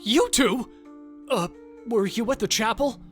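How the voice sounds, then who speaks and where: Woman acting hesitant townswoman, halting speech, higher voice, fantasy audio drama, faint music. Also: natural voice. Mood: anxious. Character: hesitant female townsperson bringing word to strangers.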